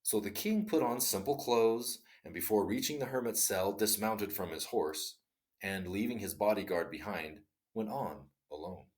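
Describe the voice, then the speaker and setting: A man reading a classic short story aloud in an expressive, dramatic storytelling style. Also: fairly low pitch, moderate pace. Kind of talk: read-aloud story narration.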